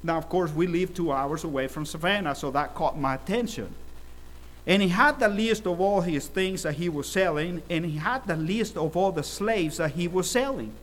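A middle-aged man from El Salvador preaches a sermon with a voice pitched somewhat high for a man. He tells stories at a steady pace and swells his volume for emphasis. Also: moderate pace, a little hiss or hum.

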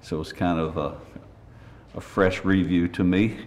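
Older guy preaching in church, low-ish raspy voice, earnest and homey. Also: steady tone, a little mellow, slight background noise. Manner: earnest, measured delivery with folksy asides. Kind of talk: sermon.

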